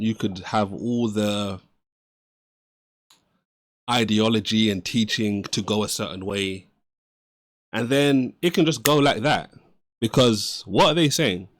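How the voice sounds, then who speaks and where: A man commenting on a clip in an earnest, moralizing and measured way. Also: fairly low pitch, no echo, studio quality. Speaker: reaction commentator. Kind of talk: reflective reaction commentary.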